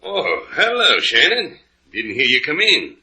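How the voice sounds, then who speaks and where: Offhand, lively-pitched pool hall keeper. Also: male voice, unhurried, exaggerated voice. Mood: offhand, absorbed. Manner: casual, offhand. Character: easygoing, distracted pool hall keeper absorbed in play. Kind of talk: 1950s radio drama acting.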